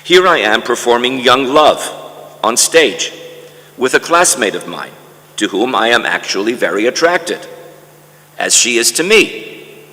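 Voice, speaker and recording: An older man from Canada reads an autobiographical lecture in a deliberate storytelling manner, with emphatic lists, in a large conference hall. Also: mid-range voice, sing-song, sharp tone. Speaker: guest lecturer reading a memoir. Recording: echoey hall with long reverb.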